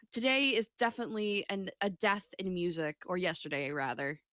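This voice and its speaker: A woman co-hosting a podcast with casual banter, animated and playful, swearing freely. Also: lowish voice, moderate pace, no room sound. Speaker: podcast co-host.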